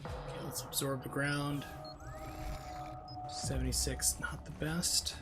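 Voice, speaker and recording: A man's voice: medium pitch, level tone, takes it slowly, over music. A man casually commentating on his live gameplay, with playful, rambling asides. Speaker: video game streamer. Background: video game sounds.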